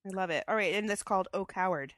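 A woman's voice: medium pitch, fast, no room sound, clean studio sound. A woman co-hosting a casual chat show, with a stuffy head cold and a chatty, enthusiastic way of talking. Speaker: podcast co-host.

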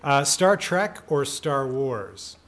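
A man's voice: mid-range voice, sing-song, takes it slowly, a bit bright. A man co-hosting a podcast, interviewing in a casual, jokey and informal way. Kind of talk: casual, joking interview chat.

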